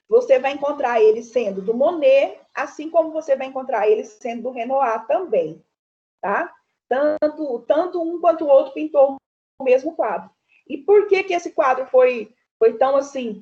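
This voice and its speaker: A Brazilian woman with a fairly high voice teaches an art class online, talking through paintings in a chatty, easygoing way. She keeps checking in with her students as she goes. The audio is dull and lo-fi, like a video call. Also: dark tone. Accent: Brazilian Portuguese.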